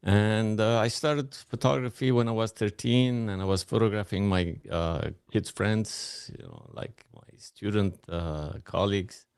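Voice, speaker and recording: A man's voice: on the low side, measured pace, vocal fry, hardly any pauses, darkish tone, clean tone, no echo. A man in his sixties from Lebanon, a guest photographer telling his life story in a studio interview with dry joking asides. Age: 60s. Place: podcast studio.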